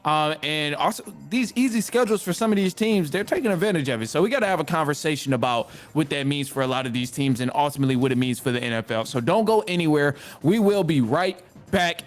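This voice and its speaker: A man with a high-pitched voice hosts a casual sports show. He rambles in exasperation, repeating phrases for emphasis and peppering them with slang and mild curses. Faint music plays behind him. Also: animated, fast talker, hardly any pauses. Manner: informal slang, mild swearing. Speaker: podcast host. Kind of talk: casual sports rant to listeners.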